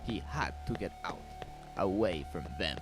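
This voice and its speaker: A man's voice: low voice, animated, unhurried, over music. A man narrating a scripted horror story in a grim, dramatic manner.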